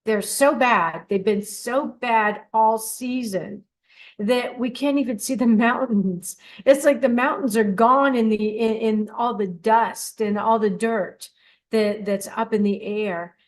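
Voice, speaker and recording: A woman's voice: a little high, slow pace. An older woman hosting a radio show alone, talking in a chatty, encouraging way with frequent restarts. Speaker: host of a dream radio show. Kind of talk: casual solo radio talk.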